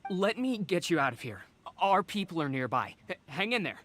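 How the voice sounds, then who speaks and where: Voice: medium pitch, very animated, normal speed, fairly bright tone, faint music behind. An actor voicing a kind, loyal young male warrior who stammers with concern.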